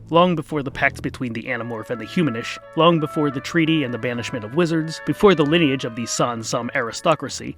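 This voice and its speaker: Bright, forceful male story narrator. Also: mid-range voice, vocal fry. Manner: wry, emphatic storytelling. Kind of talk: scripted comic fantasy narration.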